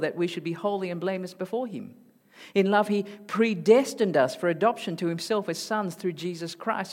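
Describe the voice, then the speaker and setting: A man from Malaysia with a mixed Malaysian, Singaporean and Australian accent, preaching a sermon emphatically and rising to loud high emphasis. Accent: mixed Malaysian, Singaporean and Australian English. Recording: hall reverb.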